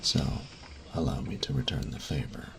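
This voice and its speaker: A man playing a grumpy, fastidious steampunk artificer-mage in an audio roleplay, speaking formally and precisely with pedantic, halting phrasing. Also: extremely low pitch, gravelly, background noise, heavily acted. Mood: lecturing. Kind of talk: scripted roleplay audio drama, addressing the listener.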